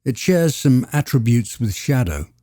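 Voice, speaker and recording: A man hosting a podcast, announcing and greeting a guest in a slow, deliberate way. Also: medium pitch, sing-song, warm tone, no echo, studio quality. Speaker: podcast host.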